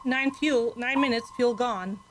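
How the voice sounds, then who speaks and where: Woman playing a composed, fairly high-voiced control secretary. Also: a little slow, a little hiss or hum, lightly acted, rough recording. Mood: sober. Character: composed, efficient female control room secretary. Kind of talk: old-time radio drama acting.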